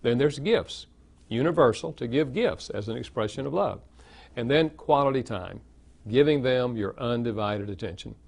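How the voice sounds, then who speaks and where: An older man with a Southern American accent, an author answering interview questions in a steady, explanatory way. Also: mid-range voice, normal speed, vocal fry. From Southern United States. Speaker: author and guest. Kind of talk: interview conversation.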